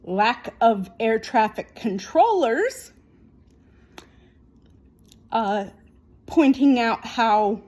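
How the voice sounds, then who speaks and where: A woman giving an unscripted opinion monologue in an emphatic, repetitive, halting way. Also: a little high, darkish tone. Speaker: video commentator.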